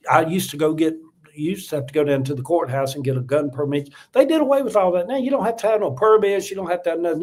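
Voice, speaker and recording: A man's voice: on the high side, darkish tone. A seventy-year-old man, talking in a casual livestream, reading out viewer comments and giving earnest, repeated warnings with lots of ums and uhs. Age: 70s. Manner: earnest, repetitive, warning tone, many fillers. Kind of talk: casual political livestream talk.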